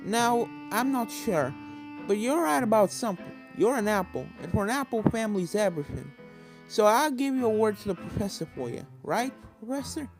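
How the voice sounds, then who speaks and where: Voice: medium pitch, animated, moderate pace, faint music behind, voice pushed up, natural voice, rough recording. A performer voicing a young, earnest girl pony in a fan fiction reading, speaking emphatically. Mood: firm, earnest.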